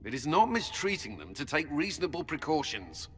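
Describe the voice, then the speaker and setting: A man's voice: on the high side, sing-song, brisk. A man playing a pragmatic, dutiful military commander in a video game, firm and matter-of-fact. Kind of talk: video game cutscene acting. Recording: reverberant hall, faint ambient noise.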